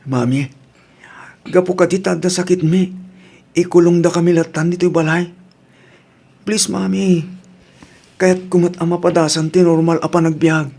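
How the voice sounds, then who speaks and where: A man's voice: high voice, steady tone, normal speed, gravelly, long pauses, a little mellow. A man acting in an Ilocano radio drama as an earnest, emotional family man, with an Ilocano accent. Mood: pleading. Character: earnest family man, by turns pleading son and father.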